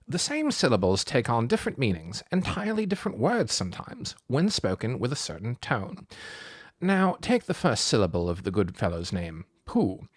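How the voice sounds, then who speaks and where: A man voicing a polite, pedantic boy scholar in a storybook, wordy and old-fashioned in his gentlemanly phrasing. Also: mid-range voice, no echo, clean studio sound. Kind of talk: storybook character voice.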